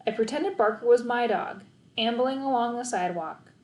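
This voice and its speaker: A woman narrating a children's novel aloud in an expressive, storytelling style. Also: fairly high pitch, moderate pace, reverberant, dull audio. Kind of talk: expressive children's audiobook narration, first person.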